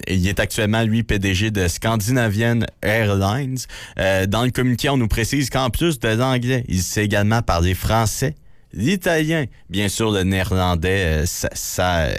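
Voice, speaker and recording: A man's voice: lowish voice, normal speed, no room sound. A man with a Quebec French accent reads traffic and news items on the radio, matter-of-fact, with slangy remarks between items. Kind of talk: radio news bulletin, read briskly with casual asides.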